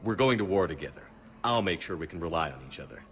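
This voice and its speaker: An actor playing a steady, principled military commander in a video game, level-headed and plain-spoken. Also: male voice, low-pitched, quick pace, a little hiss or hum. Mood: resolute.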